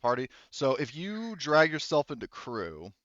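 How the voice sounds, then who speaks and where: A man casually narrating and refereeing a tabletop roleplaying game as game master, halting and loosely profane as he improvises. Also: mid-range voice, huge pitch swings, fairly bright tone, no echo, lo-fi sound.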